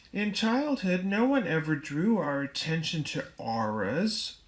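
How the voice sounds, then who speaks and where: Female narrator for a nonfiction audiobook, unhurried and deliberate, pausing between phrases. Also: on the low side. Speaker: audiobook reader. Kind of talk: scripted book narration.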